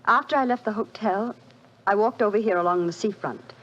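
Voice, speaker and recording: An actress playing an anxious young rich widow, subdued in manner, in a 1940s radio drama. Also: female voice, medium pitch, fairly quick, fairly bright tone, close to an everyday voice. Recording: dull old radio broadcast with faint hiss.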